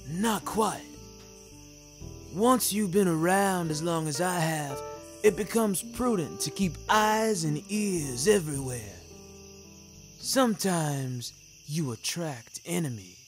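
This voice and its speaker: A man's voice: fairly high pitch, lively intonation, slow. A man voicing a jovial, worldly old wolf in an audio story, theatrical and genial with a sly edge. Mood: wise, sly. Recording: music bed under the voice.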